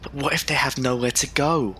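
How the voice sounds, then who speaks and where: A man playing an earnest, headstrong young gentleman in a period audio drama, formal and protective in manner. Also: medium pitch, steady tone, fairly quick, husky. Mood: earnest, concerned.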